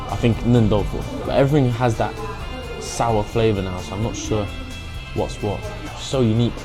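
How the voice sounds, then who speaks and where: A young man with an English accent, chatting casually through a food vlog, cheeky and mixing in Mandarin phrases. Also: mid-range voice. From England. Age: young adult. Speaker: food vlogger. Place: hotpot restaurant.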